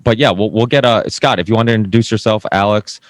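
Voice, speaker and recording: A man's voice: fairly low pitch, quick pace, no echo. A man hosting a live panel talk show in a casual, informal and meandering way.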